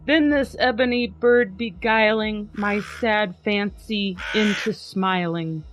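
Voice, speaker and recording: A woman podcast host giving a dramatic poetry reading, trading lines with a co-reader in a measured way. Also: mid-range voice, a little hiss or hum. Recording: slightly muffled with faint music.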